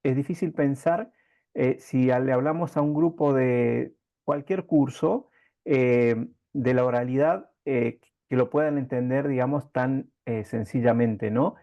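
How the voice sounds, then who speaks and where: A man's voice: mid-range voice, dark tone. Man interviewing, dull lo-fi sound. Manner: halting, reflective, circling phrasing. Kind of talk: conversational interview.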